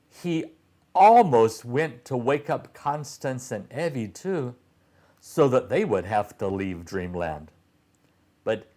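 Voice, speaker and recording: A man reading a children's storybook aloud as a storyteller, with careful, deliberate phrasing. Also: fairly low pitch, a little mellow.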